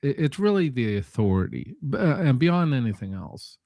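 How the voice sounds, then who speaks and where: A man chatting casually as a panelist, talking in a halting, rambling way and often correcting himself. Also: medium pitch, sing-song, creaky, warm tone, no echo, clean studio sound. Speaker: panelist on a live audio show. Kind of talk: casual unscripted chat.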